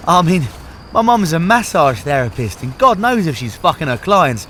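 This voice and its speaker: A man's voice: fairly high pitch, sing-song, a little slow, fairly bright tone, a little hiss or hum. A man acting a cheeky, awkward young visitor in an audio drama, with an incredulous, hesitant bantering manner.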